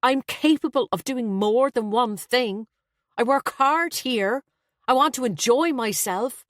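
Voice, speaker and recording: An exasperated young man arguing as someone's son, played by a woman narrator. His delivery is sharp, weary and emphatic, lifted in pitch, in a dry storybook recording. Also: unhurried, dry recording, lightly acted. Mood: sharp, defensive.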